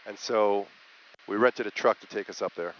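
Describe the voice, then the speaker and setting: Man, pilot host, casual cockpit chat, slightly low voice, dull headset sound, engine noise. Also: moderate pace, fairly bright tone. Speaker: pilot, host of flight vlog. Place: small jet cockpit in flight. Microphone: aviation headset.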